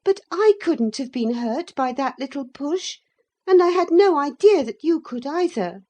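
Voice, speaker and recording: A woman's voice: high voice, lively intonation, measured pace, mellow, voice pushed up. A woman voicing an impetuous, hot-tempered but tender-hearted young girl, with an emotional delivery, in a storybook reading. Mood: remorseful, pleading. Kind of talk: dramatised classic novel reading.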